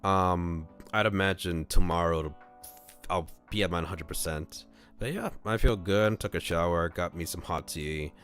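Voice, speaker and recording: A man casually chatting on a livestream and reading a visual novel aloud in a rambling, halting way. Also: sits low, fairly bright tone, faint music behind.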